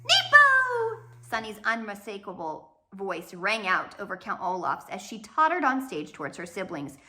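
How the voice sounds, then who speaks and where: A woman narrating a children's storybook aloud in an expressive, scripted reading style. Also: mid-range voice, moderate pace. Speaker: audiobook narrator.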